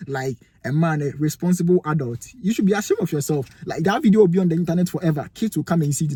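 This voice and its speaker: Husky Ghanaian man preaching to camera. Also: on the high side, dark tone, dry recording. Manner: emphatic, preachy, asks rhetorical questions. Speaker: vlogger giving life advice. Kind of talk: motivational talk to camera. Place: cold room indoors, near a heater.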